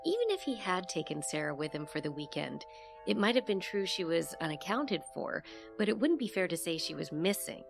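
A woman acting as an investigator who narrates a mysterious case in a measured first-person audio drama. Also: fairly low pitch, very animated, gravelly, over music. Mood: measured, reflective.

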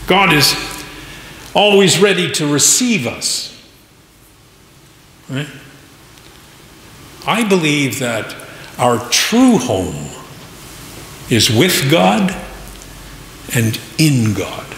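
A middle-aged man speaking as a minister in prayer and sermon, with solemn, deliberate phrasing and pauses. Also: fairly high pitch, sing-song, fairly bright tone. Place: large church sanctuary, masked at pulpit. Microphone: pulpit microphone. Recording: echoey church hall, faint noise.

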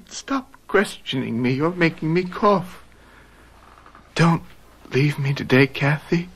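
A man's voice: a little high, expressive, slow, fairly bright tone, breathy edge, full character voice. An actor playing a sickly, fearful young man in a radio drama, whining feebly and complaining. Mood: self-pitying, clinging.

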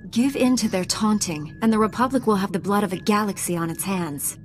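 A woman's voice: mid-range voice, faint music behind, lightly acted. A woman as a composed, resolute warrior knight. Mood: earnest, firm. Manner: serious, measured, direct. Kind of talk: video game acting.

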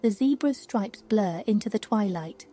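A woman reading a scripted guided sleep meditation in a slow, soothing, hushed manner. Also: mid-range voice, animated. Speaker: meditation narrator. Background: music.

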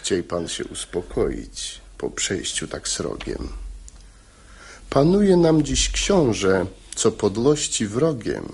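An actor playing a stern, dignified royal officer in a verse radio play, formal and authoritative. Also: male voice, on the low side, sing-song, takes it slowly, vocal fry, a little mellow, breathy edge, exaggerated voice. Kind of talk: verse stage comedy performed as radio drama.

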